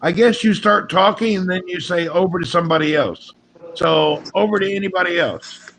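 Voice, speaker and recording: A man's voice: sits high, moderate pace, a bit bright, low-quality recording. A man hosting a casual group chat on a live stream. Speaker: livestream host.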